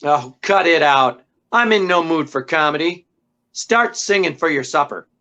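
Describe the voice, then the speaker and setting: A man's voice: fairly high pitch, animated, unhurried, sharp tone. A man playing a tough, wisecracking private detective in 1940s radio style, brusque, slangy and commanding, on a dull recording. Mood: tough, pressing. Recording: dull, band-limited sound.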